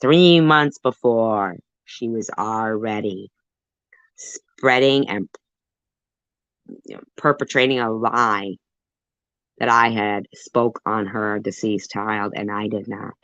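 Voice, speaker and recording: Low-voiced woman ranting defensively. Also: slow pace, long pauses, a bit bright, dry recording. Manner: defensive and rambling, with many fillers. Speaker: commentary channel host. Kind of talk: livestream commentary. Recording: dull dry lo-fi audio.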